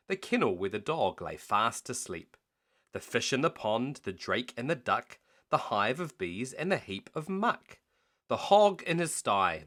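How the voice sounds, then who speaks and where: Slow, animated man reading a storybook. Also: mid-range voice. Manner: measured, rhythmic delivery. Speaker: parent reading a bedtime story aloud. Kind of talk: expressive children's storybook narration.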